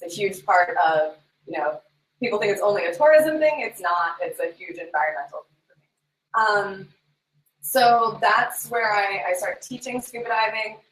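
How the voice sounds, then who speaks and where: A woman's voice: mid-range voice, lively intonation, normal speed, fairly bright tone. Woman guest, 30s, from New York; earnest, laughing, echoey and off-mic. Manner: earnest, laughs between phrases. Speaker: guest, scuba instructor. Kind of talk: casual interview talk. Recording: echoey room, distant from mic.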